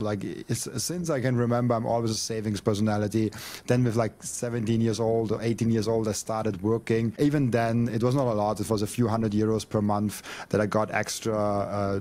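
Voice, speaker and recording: A man's voice: lowish voice, a little mellow. A young man from Austria, talking earnestly as a podcast guest and often repeating words as he thinks. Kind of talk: casual interview chat.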